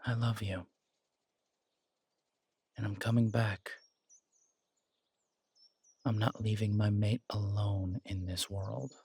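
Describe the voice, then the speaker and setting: A man playing a loyal young male werewolf alpha in an audio roleplay, wry and protective, with a low-key, halting delivery. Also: level tone, creaky, mellow, breathy edge, no room sound, heavily acted. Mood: tender, reassuring.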